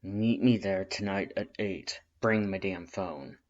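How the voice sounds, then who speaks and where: A reader voicing a gruff skeleton older brother in a fan story, growling and muttering in rough street slang. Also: deep voice, slow pace, darkish tone. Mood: grudging. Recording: dull lo-fi recording.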